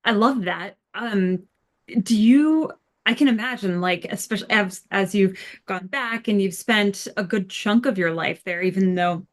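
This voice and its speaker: A woman's voice: medium pitch, measured pace. A woman co-hosting a podcast, asking rambling questions in an enthusiastic, chatty way. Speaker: podcast co-host. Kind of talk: casual interview chat.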